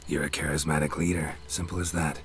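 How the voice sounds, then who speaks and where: A stoic monster hunter from a fantasy game, with a very low, gruff voice. He speaks in terse, deadpan lines over faint background noise. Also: fast talker. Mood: deadpan teasing. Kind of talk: video game dialogue acting.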